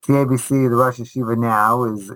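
Middle-aged male interview guest, veteran teacher type. Forceful bright tone, dry sound, animated stop-start storytelling. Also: medium pitch, dry recording, poor audio. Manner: animated, halting delivery with repeated false starts.

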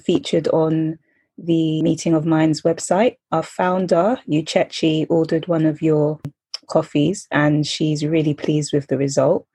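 A woman's voice: deep voice, level tone, unhurried, dark tone, husky, dry recording. A woman interviewing a guest, asking questions in a casual way with many fillers.

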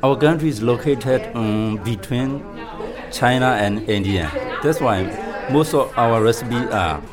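A man from Myanmar, a culinary student, speaking in careful, halting English. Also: mid-range voice, over music, crowd noise.

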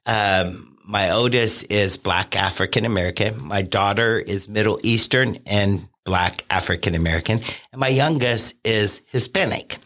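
A man speaking as a podcast guest in an earnest, measured, reflective way. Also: on the low side, a bit bright. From Cincinnati area. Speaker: podcast guest, consultant and franchisee. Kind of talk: conversational interview.

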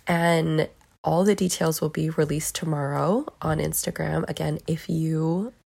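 A woman in her thirties hosting her own podcast solo, gushing and affectionate, swearing casually, hoarse as she is losing her voice. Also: low voice, fairly even intonation, measured pace, a little mellow. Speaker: podcast host.

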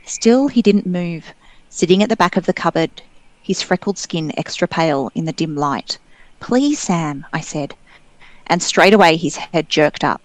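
Lowish woman reading a novel aloud. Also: dry recording, poor audio. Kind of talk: expressive reading aloud from a psychological thriller novel.

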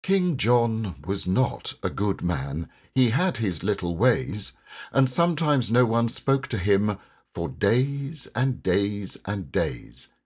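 A man reads storybook verse slowly and theatrically. His voice is on the low side and a little husky, with highly animated intonation and deliberate phrasing. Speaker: poetry reader and narrator. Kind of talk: expressive storybook verse reading.

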